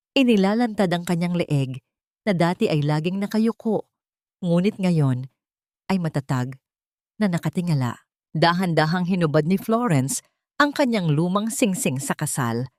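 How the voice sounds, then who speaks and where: A woman's voice: lowish voice, darkish tone, no room sound, clean studio sound. A woman with a Filipino accent reading a scripted dramatic story as its narrator.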